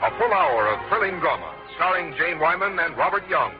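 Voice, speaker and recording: A man's voice: high voice, wildly swooping pitch, fairly quick, creaky, over loud music. A man announcing on a 1950s radio show, reading scripted promotions in a polished, formal style. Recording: muffled vintage radio broadcast.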